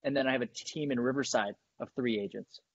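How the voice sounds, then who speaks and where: A man in his thirties from San Diego, a team leader who explains his business in a brisk, matter-of-fact way over a video call from his dining room. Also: medium pitch, breathy edge, dull audio. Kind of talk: casual video-call interview. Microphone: earbuds on video call.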